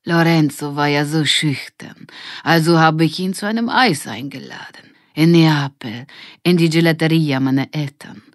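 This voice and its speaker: An old Italian grandmother from a storybook, played by a woman. She is proud and fond, drawing her words out slowly in an Italian accent, in a low female voice. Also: no room sound, clean studio sound, close to an everyday voice. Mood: reminiscing. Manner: slow, drawn-out delivery.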